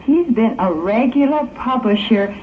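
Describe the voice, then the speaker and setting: A woman's voice: fairly high pitch, lively intonation, unhurried, phone-quality sound. A woman playing a proud, protective mother in a 1960s audio drama, careful and self-satisfied. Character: proud, protective middle-aged mother of a teenage son. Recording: thin old tape with hiss.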